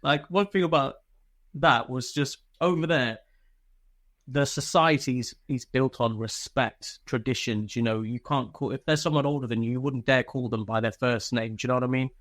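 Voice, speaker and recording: Man, casual storyteller, chatty, sweary, chuckling, medium-pitched voice. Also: steady tone, moderate pace, a bit bright. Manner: chatty, informal, swears freely, chuckles often. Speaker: interview guest, former soldier.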